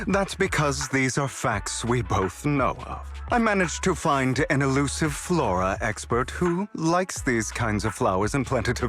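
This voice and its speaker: An actor voicing a suave, sly gentleman rogue in a video game, speaking with polished, teasing courtesy and coy pauses. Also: medium pitch. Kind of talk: video game character dialogue. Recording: music under the voice.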